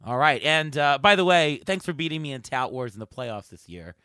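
A slightly hoarse man hosting a casual sports podcast. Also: fairly high pitch, lively intonation, a little fast, sharp tone, no echo. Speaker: podcast host. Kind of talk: casual sports talk with a co-host and guest.

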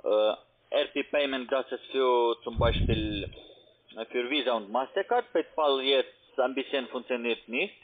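A man with a foreign accent in German, a non-native speaker giving instructions in halting, broken sentences on a phone call. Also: mid-range voice, slight background noise. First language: not German. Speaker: phone scam caller posing as payment agent. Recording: muffled narrowband phone line.

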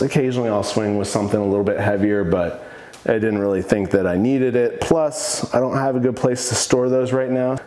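A man's voice: fairly low pitch, darkish tone. A man in his thirties, a fitness coach, casually showing off the equipment in his home gym and sharing his opinions on it with enthusiasm. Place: home gym in a basement room. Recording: echoey room.

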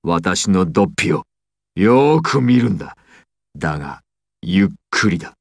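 A man's voice: low voice, sing-song, moderate pace, creaky, no room sound, heavily acted. Imperious male mafia boss villain, commanding, secretive and theatrical, anime game style, dry recording. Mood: tense, secretive. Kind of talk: anime and video game voice acting.

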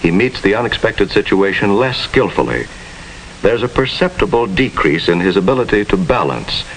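A man's voice: fairly low pitch, very animated, normal speed, low-quality recording. An American man giving formal, instructive narration with precise diction in the style of a 1950s educational film. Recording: hissy 1950s film soundtrack.

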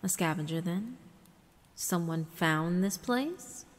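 A woman giving a dramatic first-person narration of a visual novel, theatrical and hushed, with deliberate pauses. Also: on the low side, expressive. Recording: long reverb, music underneath. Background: background music.

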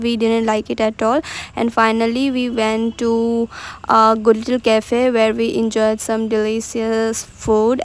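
A young woman from India narrates her vlog in a chatty voice-over, her speech simple and full of fillers. Her voice sits a little high, with a flat melody and a gravelly edge. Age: young adult. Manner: simple, chatty retelling with frequent "like" and "so". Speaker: vlogger.

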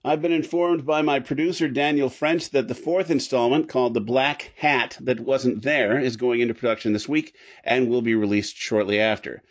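A man's voice: medium pitch, fairly even intonation, moderate pace, hardly any pauses. A man hosting a podcast, chatting casually. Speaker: podcast host. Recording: slightly dull, lo-fi recording.

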